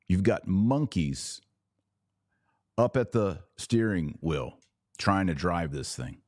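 A man's voice: low-pitched, a little mellow, no echo, clean studio sound. A man hosting a live podcast, reading viewer comments and giving emphatic, opinionated commentary with rhetorical questions. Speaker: podcast host.

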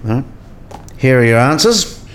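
Man acting didactic professor with animated intonation. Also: medium pitch, measured pace, a little hiss or hum. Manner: didactic, precise. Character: male professor patiently explaining category theory. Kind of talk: explanatory radio play dialogue.

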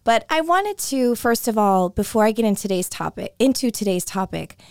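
Hoarse woman podcast host, chatty solo talk, dry close sound. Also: a little high, lively intonation, normal speed, a little mellow, no room sound. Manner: chatty and conversational, with frequent restarts. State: hoarse, voice coming and going.

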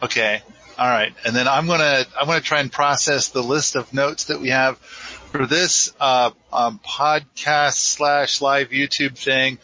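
A man's voice: medium pitch, fairly even intonation, unhurried, sharp tone, highs cut off. A man hosting a live podcast in a rambling, casual, self-deprecating way. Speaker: podcast host.

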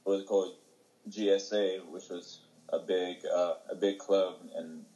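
A young man from Ethiopia giving halting, casual interview answers with many fillers and restarts. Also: sits low, flat delivery, mellow, muffled recording. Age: young adult. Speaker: interviewee, young soccer player.

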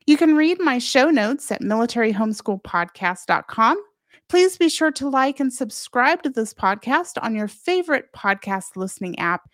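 A woman's voice: on the high side, no room sound, studio quality. A woman presenting a scripted solo podcast as its host, speaking directly and encouragingly to parents.

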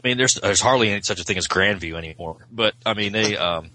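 Middle-aged American male, low and bright, wry casual podcast guest who doubles back on phrases. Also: quick pace. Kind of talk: casual chat.

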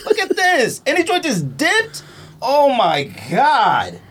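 A man chatting casually about sneakers in an animated, emphatic, slangy way, like a podcast co-host. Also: extremely high pitch, slow pace, background noise. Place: podcast studio.

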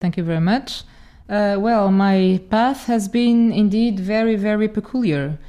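A woman in her forties, from the Dominican Republic and Portugal, telling her story as a podcast guest with many pauses and restarts. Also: medium pitch, warm tone. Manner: hesitant, many fillers and restarts. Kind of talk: casual interview talk.

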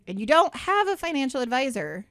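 A woman's voice: high-pitched, very animated, brisk, fairly bright tone, no echo. A woman hosting a radio show in a studio, talking in a chatty, upbeat way with many asides. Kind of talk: casual talk radio chat.

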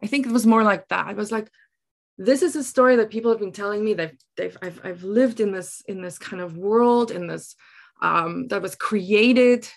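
A middle-aged woman from South Africa with an Afrikaans accent, telling personal stories reflectively in an earnest way, often halting to search for words. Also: medium pitch, creaky. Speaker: interview guest, writer. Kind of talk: reflective personal storytelling in conversation.

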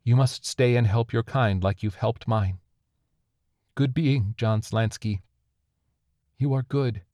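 A man voicing a weak alien creature with a hoarse, fading voice in a read-aloud story. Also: medium pitch, slow pace, dark tone, dry recording, pitched above the performer's own voice, lightly acted. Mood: gentle. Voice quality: hoarse whisper.

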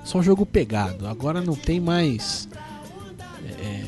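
Guy from sao paulo, pretty high voice, stuffed up with flu, rambly casual podcast host chat. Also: sing-song, measured pace, music in the background. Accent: São Paulo Brazilian Portuguese. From São Paulo, Brazil. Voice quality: congested from a cold. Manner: rambling, self-deprecating, informal slang. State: has the flu. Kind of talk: casual sports news chat.

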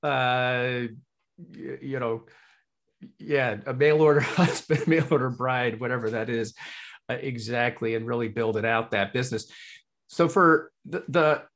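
A man from England hosting a casual interview, with halting phrasing that circles around its point. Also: medium pitch, fairly bright tone. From born in Hull, Yorkshire, England. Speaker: podcast host.

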